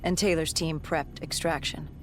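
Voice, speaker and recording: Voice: medium pitch, fairly even intonation, normal speed, close to an everyday voice. An actor's scripted game narration as a battle-worn cybernetic soldier, telling a grave story in a terse, dramatic way. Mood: grave, tense. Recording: dramatic music behind. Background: music.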